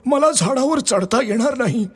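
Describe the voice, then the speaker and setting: A man's voice: very high voice, expressive, moderate pace, breathy edge, in a large hall, pitched above the performer's own voice, full character voice. A man voicing a weary, toothless old man in a children's story, with a plaintive delivery. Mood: tired, resigned. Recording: music behind the voice.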